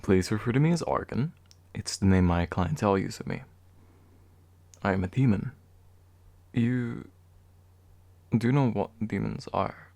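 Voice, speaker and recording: A young man acting as a courteous, gentle demon of envy in a soft-spoken ASMR roleplay, formal and soothing with occasional hesitation. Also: fairly low pitch, gravelly, no echo. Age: young adult. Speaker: voice actor.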